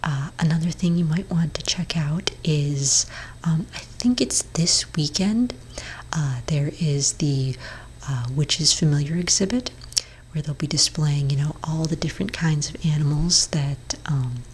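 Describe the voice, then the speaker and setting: Woman, low-pitched, breathy near-whisper; gentle therapist roleplay for ASMR, reassuring and hesitant, faint ambience behind. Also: a little slow, slight background noise. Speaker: ASMR performer role-playing a therapist. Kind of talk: soft-spoken ASMR roleplay.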